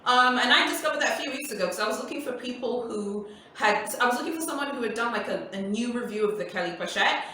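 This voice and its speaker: A woman's voice: fairly high pitch, expressive, fast, pushed and bright, slight background noise. A woman whose first language is Swahili, hosting a casual Q&A for her viewers in a chatty, emphatic way and repeating her points. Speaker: luxury YouTuber host. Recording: echoey room, voice far from mic.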